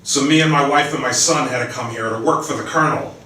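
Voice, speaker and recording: A man's voice: medium pitch, quick pace, sharp tone, slight background noise, pitched above the performer's own voice, lightly acted. A man voicing a weary, resentful middle-aged iron worker, speaking in a raised, pressed voice in a roomy space from a distance. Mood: bitter. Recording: roomy, distant mic, faint ambience.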